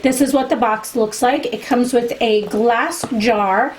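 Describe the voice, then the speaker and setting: A woman's voice: on the high side, measured pace, a little hiss or hum. Chatty woman losing her voice. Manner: chatty, candid, opinionated. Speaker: beauty vlogger. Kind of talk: product review to camera.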